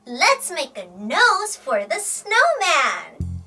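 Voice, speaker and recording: A woman's voice: very high-pitched, huge pitch swings, extremely slow, sharp tone, faint music behind. A woman hosting a playful children's English storytime, with exaggerated, sing-song delivery, repeating words for young learners.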